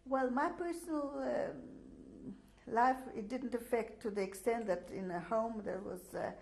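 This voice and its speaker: An older woman, a native Russian speaker, giving an oral history testimony, pausing often with fillers and restarts. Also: a little high, gravelly, darkish tone, slight background noise, rough recording. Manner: hesitant, many fillers and restarts. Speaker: interviewee.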